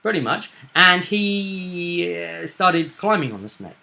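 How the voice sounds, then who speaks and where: Bright-voiced man recapping a tabletop game. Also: high voice, sing-song, slow, creaky. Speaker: game master and co-host recapping a session.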